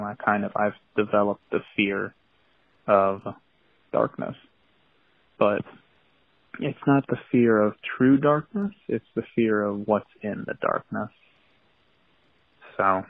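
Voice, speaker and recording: A man caller leaving a personal confession on voicemail, hesitant and halting. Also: fairly low pitch, fairly even intonation, vocal fry, husky, no echo. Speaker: anonymous caller. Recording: tinny phone voicemail line.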